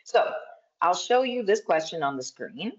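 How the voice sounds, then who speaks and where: A woman moderating an online school open house, guiding the audience step by step in a lively way over video call. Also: lowish voice, a little slow. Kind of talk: upbeat event hosting. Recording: dull lo-fi video-call audio.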